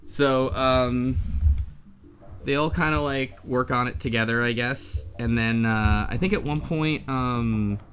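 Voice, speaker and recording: A man co-hosting a casual book club talk about a game, halting and rambling as he thinks aloud. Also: medium pitch, fairly bright tone, slight background noise. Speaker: podcast co-host.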